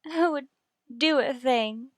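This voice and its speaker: A woman's voice: sits high, slow, dry recording, studio quality. A woman acting in a radio murder mystery, playing an earnest, emotional character with dramatic delivery. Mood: distraught, defensive.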